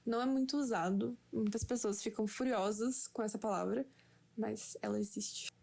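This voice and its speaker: A young Brazilian woman giving a slow, patient, playful lesson at home to a friend. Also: on the high side, creaky, warm tone. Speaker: language teacher for a friend. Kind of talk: casual home-made lesson.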